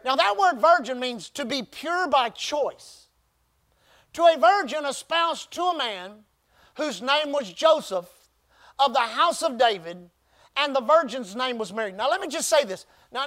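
Pastor, male, very high voice. Emphatic sermon delivery with call-and-response. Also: lively intonation, a bit bright. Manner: call-and-response preaching, emphatic drawn-out phrases.

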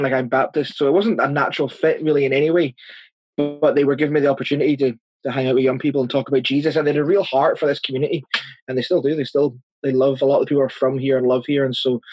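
A man's voice: medium pitch, darkish tone, no echo, rough recording. A man in his thirties with a Northern Irish accent, answering interview questions as a church planter, earnest and chatty, with frequent restarts. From Northern Ireland.

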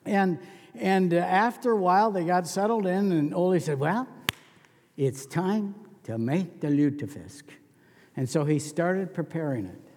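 Folksy male pastor, high voice. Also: a little mellow, long reverb tail. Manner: folksy, conversational. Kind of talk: sermon with humorous stories.

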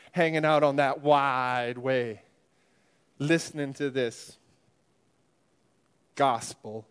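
A man playing a stern fire-and-brimstone preacher in a church comedy sketch, scolding and building up to shouts. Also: a little high, clear, resonant tone.

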